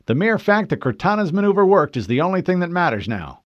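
Man as officious navy lieutenant, high and animated. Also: quick pace, no echo, highs cut off. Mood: insistent, formal. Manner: formal, insistent. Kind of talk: audiobook character voice.

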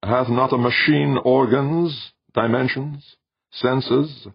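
A man voicing an ancient, calm, self-aware alien machine in a sweet tenor, with measured, formal, unemotional speech for an audiobook story. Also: medium pitch, creaky, slightly rough, no echo, muffled recording, pitched above the performer's own voice, exaggerated voice. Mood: calm, solemn.

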